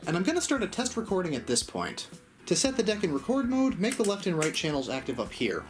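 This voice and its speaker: A man's voice: high voice, huge pitch swings, quick pace, soft background music. A man narrating a casual, step-by-step tutorial in a conversational, mildly self-deprecating way. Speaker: host of an audio gear channel.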